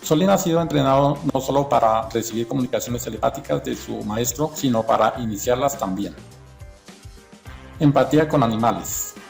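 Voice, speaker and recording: A man's voice: mid-range voice, moderate pace, gravelly, darkish tone, faint music behind. A man narrating from a script in an informational documentary style.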